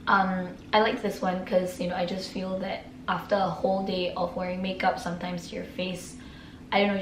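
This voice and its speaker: A woman from Singapore with a hoarse, raspy voice from a sore throat, chatting casually through her nightly skincare products and often clearing her throat. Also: mid-range voice, echoey room, slight background noise, poor audio. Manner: chatty, filler-heavy, keeps clearing throat. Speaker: beauty vlogger. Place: room.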